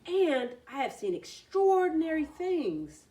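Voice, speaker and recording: A woman voicing an excitable young male frog in a storybook, savoring each word with an air of mystery. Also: high voice, slow, roomy sound, far from the mic, pitched above the performer's own voice, exaggerated voice. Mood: excited.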